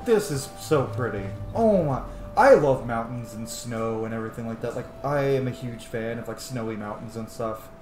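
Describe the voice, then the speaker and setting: A man's voice: medium pitch, expressive, normal speed, over music. Roomy-sounding man doing casual game commentary. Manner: gushing, sweary, rambling. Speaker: gaming streamer playing and commenting. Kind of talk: casual let's-play commentary. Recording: roomy echo with faint background noise.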